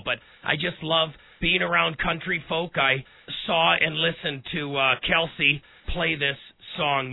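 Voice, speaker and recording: A man hosting a radio talk show, hoarse and raspy today, speaking in a folksy way straight to his listeners. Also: fairly high pitch, no echo. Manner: folksy, direct address to listeners. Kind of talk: casual one-on-one radio talk. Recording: tinny phone-like sound.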